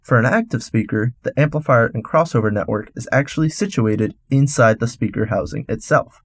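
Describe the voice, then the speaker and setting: A man's voice: medium pitch, fairly quick, breathy edge, no room sound. Male radio host, dry close sound. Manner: instructional, explains step by step. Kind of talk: scripted explanatory narration.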